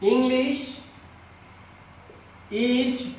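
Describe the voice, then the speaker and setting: A man giving a grammar lesson to students as their teacher, explaining in a deliberate, repetitive way. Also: extremely high pitch, darkish tone. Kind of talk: classroom lesson addressed to students. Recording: echoey room, distant microphone.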